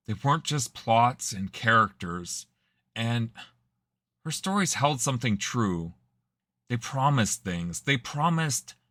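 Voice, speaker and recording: A man's voice: mid-range voice, a bit bright, no room sound, clean studio sound. A man voicing a hesitant young storyteller. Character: young man haltingly recounting a painful childhood memory. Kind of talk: audio drama acting.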